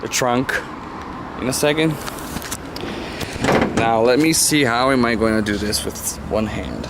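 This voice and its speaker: A man's voice: mid-range voice, unhurried, very noisy. A man with a Brazilian Portuguese accent, a native Portuguese speaker, casually showing his car on a handheld phone camera and often searching for words with ums and uhs. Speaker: car enthusiast vlogger. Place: around a parked car.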